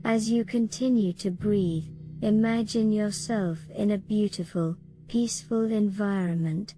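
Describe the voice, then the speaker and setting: A woman reading a slow guided meditation in a gentle, soothing, measured way. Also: mid-range voice, fairly even intonation, low-quality recording. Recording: voice over clearly audible music. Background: gentle relaxation music.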